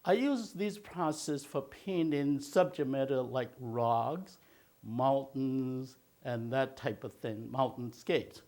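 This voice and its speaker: An older man from China, raised in Malaysia, teaching a watercolor technique in a slow, halting way with many filler sounds. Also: mid-range voice, a little mellow. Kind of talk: instructional video talk.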